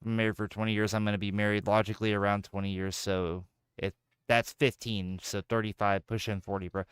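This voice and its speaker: A man's voice: lowish voice, a little fast, smooth, dry recording, clean studio sound. A man in his thirties, a gaming streamer chatting casually with jokes, swearing and playful banter. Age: 30s. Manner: joking, profane, animated banter. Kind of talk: casual chat while gaming.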